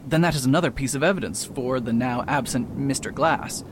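A man's voice: medium pitch, brisk, noisy background, lightly acted. A man playing a confident detective doctor in an amateur radio drama, laying out precise deductions. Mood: self-assured. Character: confident middle-aged male detective doctor.

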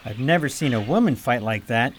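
A woman's voice: rumbling low, animated, fairly quick, slight background noise. A woman playing a brave, proud young prince in a radio play, with earnest, heroic delivery. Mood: gallant, sheepish. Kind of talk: theatrical radio drama acting.

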